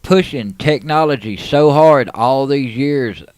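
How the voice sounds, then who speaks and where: A man's voice: mid-range voice, takes it slowly, a little mellow, no room sound. A man chatting casually as a radio panelist, with a folksy, colloquial way of talking. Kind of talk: casual chat. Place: radio studio.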